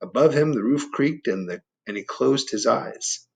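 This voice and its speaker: A man reading a children's story aloud, expressive, gently prompting young listeners with questions and asides. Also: medium pitch, normal speed, creaky. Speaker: picture book reader.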